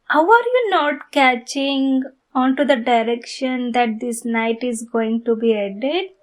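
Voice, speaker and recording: A woman playing an obsessed, flirty yandere fan in an intimate ASMR roleplay, soft, cooing and sweetly menacing. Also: on the high side. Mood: lovesick, teasing. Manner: soft, cooing, slow and deliberate, often breathy whispering.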